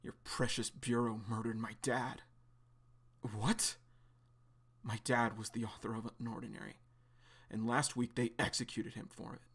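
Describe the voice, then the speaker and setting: A man's voice: medium pitch, little pitch movement, unhurried, long pauses, slightly rough. A man voicing a vengeful, furious young man who swears bitterly.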